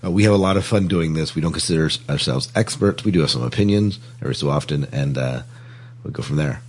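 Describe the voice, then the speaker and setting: A man's voice: rumbling low, fast, slightly rough. A man co-hosting a podcast in a casual, chatty style. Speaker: podcast co-host.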